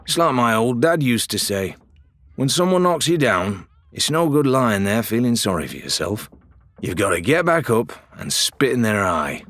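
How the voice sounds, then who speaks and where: A man voicing a cheerful pirate quartermaster in an audiobook, chatty and nonchalant with deadpan humour. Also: medium pitch, moderate pace, no echo, pitched above the performer's own voice.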